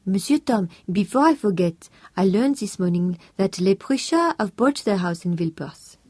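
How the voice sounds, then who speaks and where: A woman's voice: medium pitch, lively intonation, normal speed, dark tone, dry recording, close to an everyday voice. A woman reading an audiobook voices a fussing older housekeeper, formal and eager to please.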